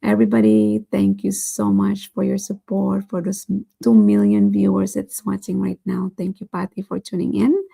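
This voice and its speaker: Hoarse woman with a gravelly voice. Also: mid-range voice, monotone, moderate pace, dark tone. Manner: earnest, repeats "right?" and "you know". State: losing her voice, hoarse. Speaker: vlogger hosting a daily challenge. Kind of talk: motivational talk to viewers.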